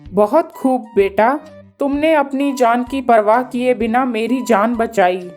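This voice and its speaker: A woman's voice: a little high, slow, a little mellow, soft background music. A woman voicing a benevolent old male hermit sage in a children's cartoon, speaking solemnly. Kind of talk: children's cartoon story acting.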